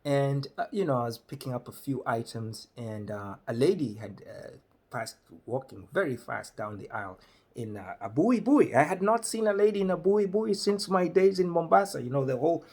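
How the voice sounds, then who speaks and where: A middle-aged man from Malawi telling a personal story in an animated way, filmed by a webcam in a small room. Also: medium pitch, moderate pace. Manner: animated, gestures a lot while telling.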